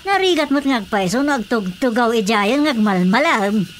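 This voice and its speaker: An actress plays a chatty village woman with a fairly high voice and an Ilocano accent. She is sympathetic, in radio drama comedy style, with faint noise behind her. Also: animated, moderate pace, lightly acted.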